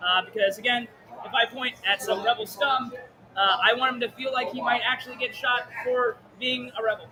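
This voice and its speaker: A man's voice: very high-pitched, steady tone, brisk. A man speaking as a polite guest in a livestream interview, hesitant, with a tired, strained voice. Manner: polite, hesitant with many ums. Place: outdoor event. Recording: clear background event noise.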